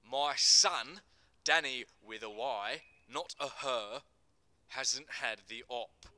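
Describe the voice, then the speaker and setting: A man playing a menacing, domineering gangland boss, forceful and accusatory, in a dramatic screenplay reading. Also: mid-range voice, takes it slowly. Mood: cold anger.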